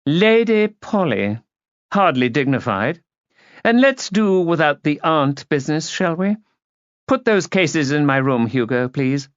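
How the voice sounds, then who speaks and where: A man's voice: fairly high pitch, sing-song, measured pace, dry recording, dull audio, pitched above the performer's own voice. A man voicing a haughty, imperious upper-class woman in an audiobook, clipped, condescending and cutting. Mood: cool, commanding. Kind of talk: audiobook character voice.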